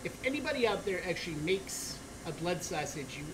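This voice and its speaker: A woman's voice: fairly low pitch, sing-song, brisk. A woman playing a jovial female orc butcher of a savage tribe, bantering playfully and relishing the gore on a cooking stream. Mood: hammy, cheerful. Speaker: co-host. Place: studio kitchen set, indoors. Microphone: far camera. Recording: noisy studio room audio.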